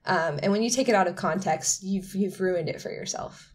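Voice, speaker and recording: A woman's voice: lowish voice, normal speed. A woman co-hosting a podcast, giving opinions casually and emphatically, with lots of "like". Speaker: podcast co-host.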